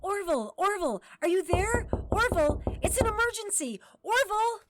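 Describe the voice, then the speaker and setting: A shrill, scolding older mother, frantic and sharp-tongued. Her voice is very high and swings wildly, and clicking sounds come through in the background. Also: animated, normal speed, very noisy.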